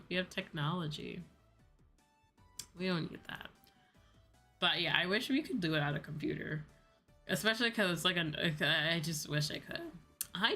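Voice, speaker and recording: A woman's voice: fairly low pitch, bright, forceful tone. A young woman chatting casually on a livestream, sounding sleepy, rambling and playfully repeating herself. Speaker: VTuber livestream host. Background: background music.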